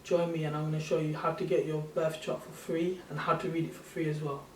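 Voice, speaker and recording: A soft-spoken man in his thirties, originally from Sri Lanka, casually walking through his own screen in a loose, rambling way. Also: fairly high pitch, flat delivery, fairly quick, slight background noise, low-quality recording. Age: 30s. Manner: rambling, loose and self-correcting. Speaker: channel host. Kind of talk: casual screen-share walkthrough.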